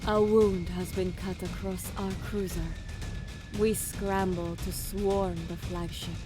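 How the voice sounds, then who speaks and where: Resolute alien war leader, acted by a woman: slow, measured, mid-pitched delivery over prominent score. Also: flat delivery, close to an everyday voice. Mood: grave narration. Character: resolute female alien military commander narrating her battle. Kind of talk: audio drama acting with first-person narration. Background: music score under the voice.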